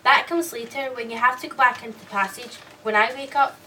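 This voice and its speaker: A woman puts on a sinister, falsely sweet woman for a radio play. She speaks in a high, bright, composed and teasing voice, a little away from the mic. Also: brisk, distant mic, a little hiss or hum. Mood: cold, menacing. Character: sinister dream-double woman, coolly taunting, falsely sweet. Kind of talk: radio drama acting.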